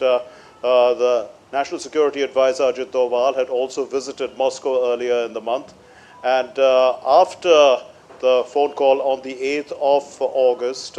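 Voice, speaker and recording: Halting male news correspondent, flat delivery. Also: medium pitch. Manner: halting, hesitant phrasing with frequent fillers. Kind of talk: live unscripted news analysis.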